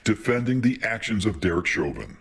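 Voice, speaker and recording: Man, lower pitch, husky, scripted news narration. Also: animated, a little fast, fairly bright tone. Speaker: TV news reporter.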